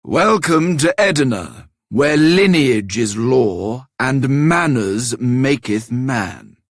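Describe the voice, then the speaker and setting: A haughty town guard for a video game. He declaims pompously and extremely slowly, with expressive pitch and a dry, close sound. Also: no room sound. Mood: haughty, scornful. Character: haughty male town guard dismissing outsiders. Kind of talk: video game character voice acting.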